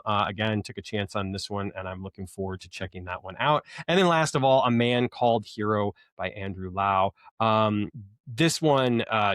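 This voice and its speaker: A man's voice: on the low side, a bit bright, dry recording, studio quality. A man hosting a casual unboxing video, talking enthusiastically in a rambling, hesitant way full of ums. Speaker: host of a film collecting video channel.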